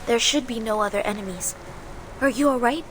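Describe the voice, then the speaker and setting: A woman playing a solemn, gentle young woman fighter in a video game, speaking in an earnest, hesitant way. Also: on the high side, a little fast, noisy background, close to an everyday voice. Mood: resolute.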